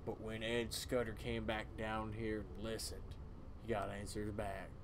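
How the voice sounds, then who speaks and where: A man's voice: fairly low pitch, completely flat delivery, unhurried, a little hiss or hum, lightly acted. A man voicing a grim, self-righteous old small-town cult elder with a folksy way of talking.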